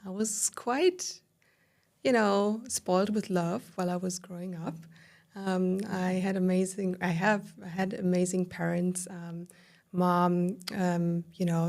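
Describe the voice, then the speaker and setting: A woman's voice: on the low side, little pitch movement, a little slow. German-accented woman telling her story. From southern Germany. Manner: warm, storytelling with many fillers. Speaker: guest. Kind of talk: casual interview conversation.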